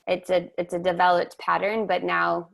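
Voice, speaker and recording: Measured woman with a flat, gravelly voice. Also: on the low side. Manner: slow, measured, reflective. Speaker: webinar host and somatic teacher. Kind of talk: reflective guided conversation. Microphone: video call.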